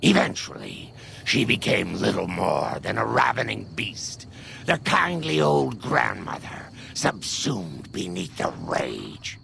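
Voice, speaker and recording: Grim game storyteller: extremely gravelly, deep, lively swings in pitch, ominous delivery. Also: male voice, heavily acted. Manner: slow, ominous, drawn-out. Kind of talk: scripted dramatic game narration. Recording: faint background noise.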